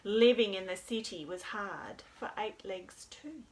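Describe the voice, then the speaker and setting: A woman's voice: medium pitch, unhurried, slight background noise, poor audio. A woman hosts a storytime for young children, speaking in an encouraging, sing-song way with simple phrases. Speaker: children's storytime host at a library.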